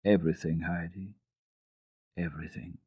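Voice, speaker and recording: A man voicing a kind, pious old grandmother in a storybook, gently and patiently coaxing. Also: low-pitched, steady tone, moderate pace, husky, dry recording. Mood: reassuring.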